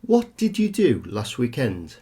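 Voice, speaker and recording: A man's voice: mid-range voice, wildly swooping pitch, a little slow, warm tone, husky. A man reading scripted exam questions and instructions as an examiner.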